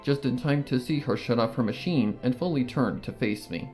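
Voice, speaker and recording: A reader narrating a fan story in the first person, with a measured, earnest delivery. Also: medium pitch, a little mellow, faint music behind.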